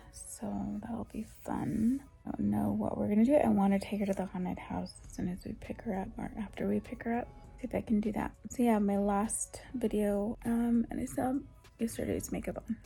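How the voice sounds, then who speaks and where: A young adult woman vlogging in a soft, whispery voice, rambling casually with lots of ums, recorded through headphones used as a mic. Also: medium pitch, gravelly, husky. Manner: rambling, with many ums and restarts. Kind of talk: casual chatty vlog talk. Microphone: headphones used as a microphone.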